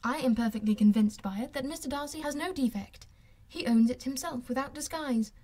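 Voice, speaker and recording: A woman voicing a witty, self-assured young gentlewoman in an audiobook, with a playful, teasing and articulate manner. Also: sits high, fairly quick, pitched above the performer's own voice. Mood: wry. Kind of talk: audiobook character voice.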